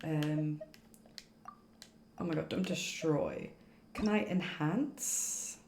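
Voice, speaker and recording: A woman's voice: sits low, very slow, a little mellow, a little hiss or hum. Chatty woman streamer in an echoey room. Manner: chatty, thinking aloud. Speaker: game streamer. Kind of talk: casual live gameplay commentary. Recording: roomy echo, game music behind. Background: game music.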